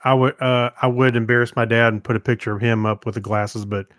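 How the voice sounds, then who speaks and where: A man with an American accent co-hosting a podcast, chatting casually in an informal, halting way. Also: medium pitch, fairly even intonation, fairly bright tone, no room sound, clean studio sound. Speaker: podcast co-host.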